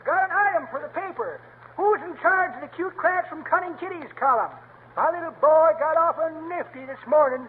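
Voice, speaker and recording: An actor playing a boastful, hard-of-hearing old man, with folksy shouted talk and cackles, in a 1940s radio comedy. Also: extremely high pitch, a little fast. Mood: cackling. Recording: tinny, hissy old radio broadcast.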